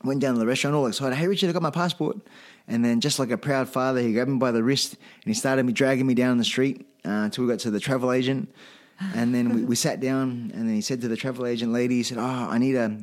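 Aussie guy, middle aged, podcast guest telling old stories, kinda halting and modest, midrange voice. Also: mid-range voice, little pitch movement. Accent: Australian. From Australia. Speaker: podcast guest, veteran fighter. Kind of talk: casual anecdotal storytelling.

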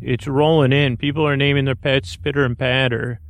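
A man hosting a bedtime sleep podcast in a creaky, lulling voice, meandering hesitantly and often losing his thread. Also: medium pitch, steady tone, moderate pace. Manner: lulling, soothing. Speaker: podcast host.

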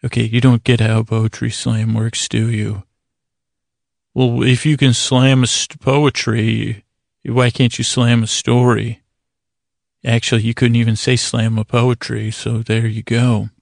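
A man with a creaky, gravelly voice hosts a sleep podcast. He meanders through a halting monologue, trailing off and doubling back on his phrases. The sound is dry, with no room around the voice. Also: mid-range voice, little pitch movement, no echo. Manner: halting and digressive, trailing off and circling back on words. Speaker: host of a bedtime story podcast. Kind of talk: rambling, meandering solo podcast monologue meant to lull listeners to sleep.

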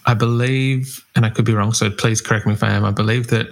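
A man's voice: fairly low pitch, fairly even intonation, fast. A man interviewing a musician in a casual chat, rambling and searching for his words.

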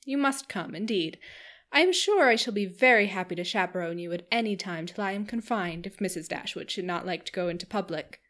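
A woman reader voicing a cheerful, giddy young wife who gushes in quick, eager chatter. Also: fairly low pitch, lively intonation, fairly bright tone. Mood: gushing. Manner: gushing, breathless chatter.

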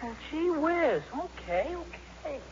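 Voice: high voice, sing-song, slow, heavily acted. A performer playing an eager, awkward, lovestruck teenage boy with a blunt gee-whiz manner in a 1950s radio drama. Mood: pestering, dejected. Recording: muffled, noisy 1950s radio recording.

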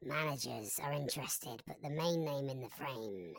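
A disguised whispering rumour-monger. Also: male voice, medium pitch, normal speed, gravelly, no echo. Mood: conspiratorial. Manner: hushed, conspiratorial, often whispering. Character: secretive male football rumour-monger, jittery. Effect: voice-disguising effect. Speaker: anonymous insider guest.

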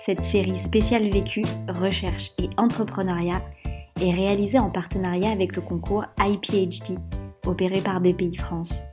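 A woman with a mid-range voice reads a scripted podcast opening in an even, measured way. Clear music plays under her voice the whole time. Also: medium pitch. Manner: even, read-aloud delivery. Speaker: podcast host. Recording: voice over clear music bed.

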